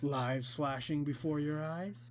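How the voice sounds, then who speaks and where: Man putting on a slow, menacing villain. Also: fairly high pitch, fairly even intonation, darkish tone, lightly acted. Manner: slow, deliberate, drawn-out delivery.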